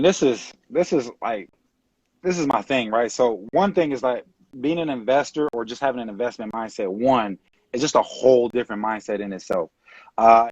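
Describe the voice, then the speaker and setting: A man in his late twenties from California, talking casually as an interview guest on a video call, relaxed and rambling with many fillers. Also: medium pitch, no echo. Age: late 20s. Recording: dull dry video-call audio.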